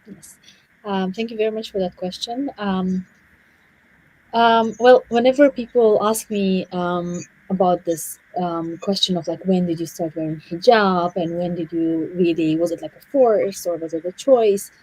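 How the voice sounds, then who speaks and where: A woman, telling her personal story as a guest speaker in a halting way, often repeating words. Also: lowish voice, a little mellow, breathy edge, rough recording. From Afghanistan and Central Asia. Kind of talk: personal story in a panel interview.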